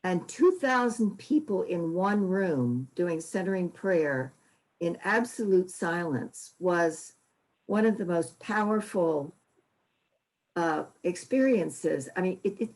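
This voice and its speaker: A woman with a slightly low voice speaks very slowly and reflectively, with hesitations. She talks through a computer mic on a video call. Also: expressive, a little mellow, rough recording. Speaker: group member. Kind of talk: recalling a personal experience.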